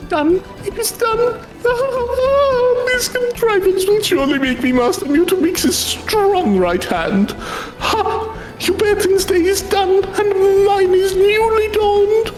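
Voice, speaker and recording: A man's voice: extremely high pitch, slow pace, roomy sound, music in the background, voice pushed up, low-quality recording. A man voicing a vain, giddy scroll-crafter from a fantasy game, speaking in florid, theatrical, gloating phrases. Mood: possessive, triumphant.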